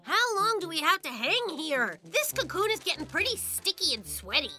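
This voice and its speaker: A performer voicing a boastful, excitable young boy bear cub in a children's cartoon. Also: very high voice, normal speed, heavily acted. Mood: eager. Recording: music behind the voice. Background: children's cartoon music.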